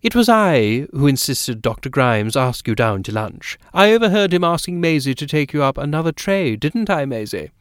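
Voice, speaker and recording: An effusive, genteel lady of great curiosity, played by a male audiobook reader. The delivery is lively and emphatic, lifting in pitch as the polite chatter presses on. The sound is close and dry. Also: normal speed, few pauses, smooth, no room sound. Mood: bubbly, insistent. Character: genteel chatty inquisitive lady, warmly insistent. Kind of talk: audiobook character dialogue.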